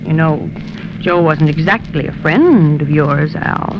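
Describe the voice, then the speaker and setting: A woman's voice: sits low, measured pace. An actress playing a scheming, seductive gangster's moll in a 1950s radio crime drama, taunting, sneering and cooing at her man. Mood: taunting, dreamy. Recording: noisy, muffled 1950s radio recording.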